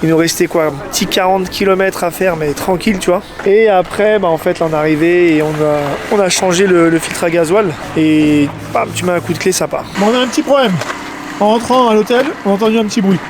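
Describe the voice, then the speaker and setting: A high-pitched man talks casually from inside a rally car, joking around and rambling as he goes. Heavy engine and road noise roars under every word. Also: fairly quick. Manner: chatty, jokey, loose and rambling. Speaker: vlogger driving a desert rally car. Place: in a car on a desert rally, outdoors.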